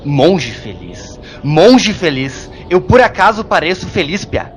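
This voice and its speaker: A man playing a grumpy, sarcastic hermit monk in an audio drama, gruff and mocking in a colloquial way. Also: a little high, big pitch swings, slow pace, faint music behind. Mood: indignant.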